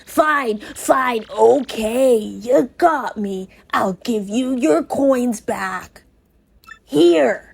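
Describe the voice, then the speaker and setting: A woman voicing a sassy, smug, grudging cloaked thief in a video game read-aloud, grumbling and wisecracking in drawn-out phrases. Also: on the high side, vocal fry, husky, exaggerated voice.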